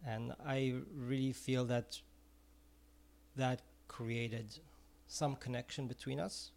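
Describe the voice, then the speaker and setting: A man's voice: mid-range voice, fairly even intonation, slow, gravelly, darkish tone. A middle-aged man from Israel, an ice cream vendor and former music teacher, talking earnestly in an interview and often repeating words as he searches for phrasing. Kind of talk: casual interview talk.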